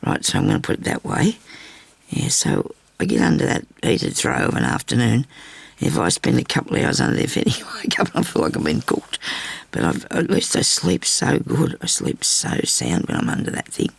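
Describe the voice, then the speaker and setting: Older woman, raspy and hoarse, lively sing-song delivery, chatty craft host. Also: extremely low pitch, normal speed. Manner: chatty, rambling asides while stitching. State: hoarse with a bad cough. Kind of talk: casual sewing tutorial chat. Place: home craft table. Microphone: overhead camera on her hands.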